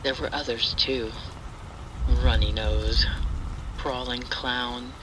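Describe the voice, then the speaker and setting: A man narrating a scripted spooky story in an atmospheric, hushed and deliberate way. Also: mid-range voice. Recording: steady rain and thunder noise. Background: rain and thunder ambience.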